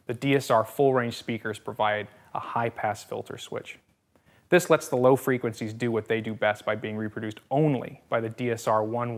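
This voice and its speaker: Gravelly man narrating a product promo. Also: mid-range voice. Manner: polished salesy delivery with punchy quips. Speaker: product presenter.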